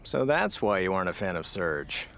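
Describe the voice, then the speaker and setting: A man's voice: low voice, sing-song, moderate pace, creaky, noisy background, full character voice. An actor playing a put-upon, sarcastic man in a comedic audio drama, grumbling with dry reluctance.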